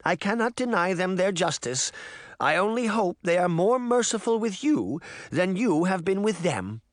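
A man's voice: high voice, sing-song, normal speed, dry recording, clean studio sound, pitched above the performer's own voice. Man putting on Granny Relda, a stern old grandmother. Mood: grave. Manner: composed, stern. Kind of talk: audiobook character voice.

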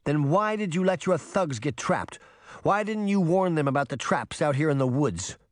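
A man's voice: fairly high pitch, expressive, normal speed, no echo, studio quality, voice pushed up, lightly acted. A man voicing a worried but defiant young girl heroine in an audiobook, speaking earnestly. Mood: confronting. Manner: earnest, troubled. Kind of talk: audiobook character voice.

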